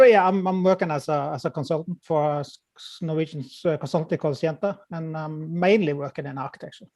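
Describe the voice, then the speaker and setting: A man from Norway with a somewhat high voice, recorded dry and close. He introduces himself haltingly, restarting his sentences. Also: a little mellow, dry recording, dull audio. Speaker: architecture consultant, panelist. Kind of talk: panel discussion. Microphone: video call.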